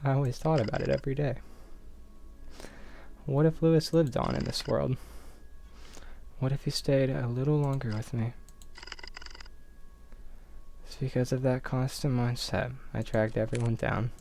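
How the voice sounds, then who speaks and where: A frail elderly man, as acted by a male reader in a visual novel. He is timid and remorseful, speaking with gentle gratitude in a middling pitch. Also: fairly even intonation, normal speed, creaky, pauses often, a little hiss or hum, close to an everyday voice. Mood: remorseful, grateful.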